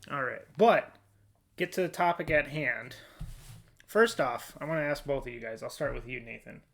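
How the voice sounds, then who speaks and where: A man's voice: medium pitch, normal speed, creaky, fairly bright tone. A man with a mid-range voice hosts a casual podcast discussion. He speaks informally, as in a chat among friends. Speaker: podcast host.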